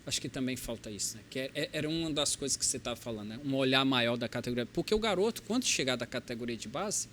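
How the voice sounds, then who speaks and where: A man's voice: medium pitch, fast, few pauses, a little hiss or hum. A man with a Brazilian accent from Ceará hosting a podcast, enthusiastic and affirming as he asks questions and signs off. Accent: Brazilian Portuguese, Ceará. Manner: enthusiastic, affirming, animated sign-off. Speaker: podcast host. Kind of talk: podcast interview.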